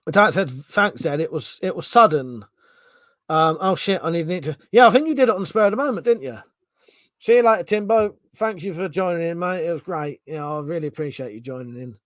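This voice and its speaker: A man's voice: fairly high pitch, animated, fairly quick, a bit bright, no echo, clean studio sound. A middle-aged man chatting casually on a live stream, reading out chat messages, swearing freely and restarting his sentences.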